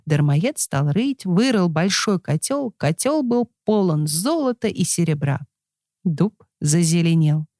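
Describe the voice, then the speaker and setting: A woman reading a scripted folk tale as an expressive storyteller. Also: lowish voice, a little slow, no echo, studio quality.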